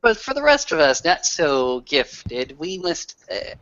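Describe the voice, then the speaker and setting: A man voicing a courteous, wordy werewolf storyteller who leads the group in a tabletop roleplaying game, formal and somewhat halting. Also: on the high side, very animated, fairly bright tone, dry recording, highs cut off, full character voice. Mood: courteous, reproving. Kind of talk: improvised in-character roleplay.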